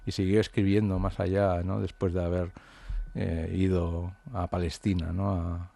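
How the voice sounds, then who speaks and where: A man's voice: deep voice, level tone, moderate pace, vocal fry, mellow. A man, an author from the Basque Country, talking through his ideas in an interview, hesitant and reflective with many fillers and restarts. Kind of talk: interview conversation.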